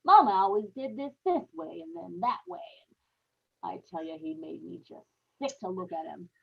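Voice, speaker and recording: An actress playing a guilt-haunted, murderous young wife in a brooding, confessional old-time radio horror monologue. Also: female voice, fairly low pitch, big pitch swings, normal speed, gravelly, husky, lo-fi sound, exaggerated voice. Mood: bitter, unhinged. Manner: dramatic, brooding confessional with repeated phrases.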